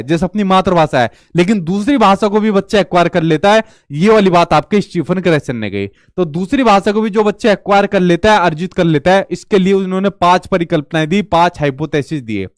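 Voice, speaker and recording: A man with a notably high voice gives an informal exam coaching lesson, repeating every idea in Hindi and then in English terms. He keeps checking in with his listeners. The sound is dry and close, with no room echo. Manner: repetitive, restates each point in Hindi and English terms; addresses viewers as brother, checks with "theek hai". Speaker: exam prep teacher.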